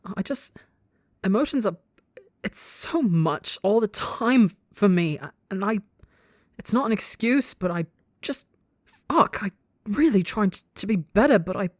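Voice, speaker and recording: A woman's voice: on the low side, unhurried, no room sound, clean studio sound, lightly acted. A woman voicing a hot-tempered but guilt-ridden young man in a dramatic story reading, stammering in broken restarts. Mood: tearful, apologetic. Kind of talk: dramatic audiobook-style character dialogue.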